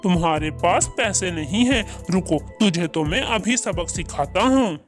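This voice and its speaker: A man's voice: sits high, lively intonation, a little slow, soft background music. A man voicing a greedy, bullying male crow who sells samosas in a cartoon, with a scolding, boastful manner. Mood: angry, threatening. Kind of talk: Hindi cartoon voice acting.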